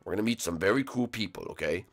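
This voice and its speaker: A man voicing a gruff middle-aged dragon-turtle king who is a strict father, in a lowered, stern voice. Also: lively intonation, fast. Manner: stern, patient, corrects his son.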